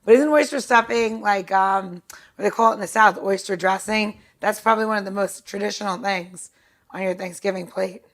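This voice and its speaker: A woman's voice: mid-range voice, brisk. A woman co-hosting a podcast in casual banter, playful and emphatic, readily wandering off on tangents. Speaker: podcast co-host.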